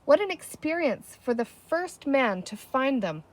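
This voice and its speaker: A woman plays a sensible young storybook girl who takes charge. Her voice is high and very lively, coaxing with eager enthusiasm, firm but kind. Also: unhurried, full character voice. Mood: eager, persuasive.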